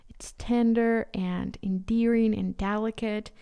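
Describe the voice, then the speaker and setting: Slow, smooth-voiced Ukrainian woman host. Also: medium pitch, steady tone, highs cut off. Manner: halting, earnest, thinks aloud with restarts. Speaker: podcast host. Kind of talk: solo podcast monologue.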